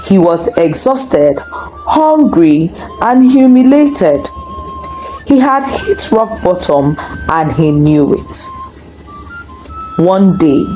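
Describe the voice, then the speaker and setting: A woman narrating a scripted folktale in an expressive, deliberate storytelling manner. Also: medium pitch, low-quality recording.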